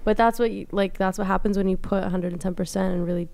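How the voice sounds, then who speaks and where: Gravelly-edged young woman, encouraging co-host. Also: mid-range voice, fairly even intonation, fast talker, dark tone. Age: 20s. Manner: encouraging, says man and brother a lot. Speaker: podcast co-host. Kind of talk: casual chat. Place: dark podcast studio. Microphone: studio mic on boom, headphones.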